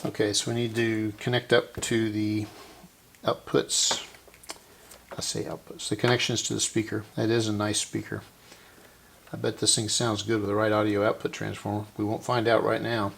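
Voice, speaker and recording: A man with a lowish, gravelly voice narrates a hands-on repair in a casual way. He thinks aloud and often restates what he just said. Faint noise and clicks sit behind his voice. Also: completely flat delivery, moderate pace, a bit bright. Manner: thinks aloud, often corrects himself mid-task. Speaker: host of a radio repair channel.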